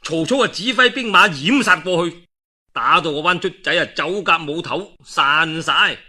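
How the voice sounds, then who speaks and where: Male traditional storyteller, Cantonese, slightly high and very forceful, dramatic and chatty in narrating old war tales. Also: normal speed. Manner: dramatic, animated delivery with conversational particles and asides. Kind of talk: traditional Chinese storytelling of a historical novel, colloquial narration.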